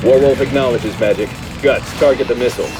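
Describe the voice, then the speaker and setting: A man playing a calm, commanding military squadron leader in a flight combat game, giving terse orders. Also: medium pitch, brisk, music in the background, natural voice. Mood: focused, commanding.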